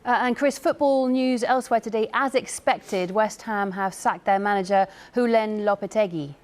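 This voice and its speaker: A woman presenting the evening news, reading links in a smooth, friendly way. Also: medium pitch, moderate pace, a little mellow.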